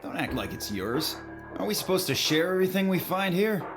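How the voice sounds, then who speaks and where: A man voicing a smug, untrustworthy young man in a low, mocking tone, over game music. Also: very animated, brisk. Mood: sneering. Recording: game music playing behind.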